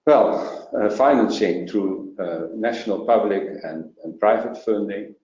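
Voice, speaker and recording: A man presenting a programme in a webinar, halting with many fillers and restarts. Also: fairly low pitch, darkish tone. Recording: roomy, dull lo-fi sound.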